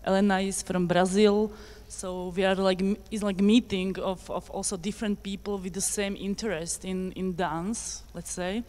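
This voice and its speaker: A woman's voice: medium pitch, level tone, normal speed, few pauses, darkish tone. A woman from Slovakia, a dancer answering audience questions in English, hesitant and searching for words. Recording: reverberant hall.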